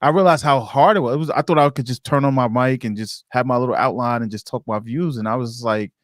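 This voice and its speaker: A man hosting a casual conversational interview, talking in a halting way as he rephrases his thoughts. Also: mid-range voice, no echo, clean studio sound. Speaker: podcast interviewer and editor.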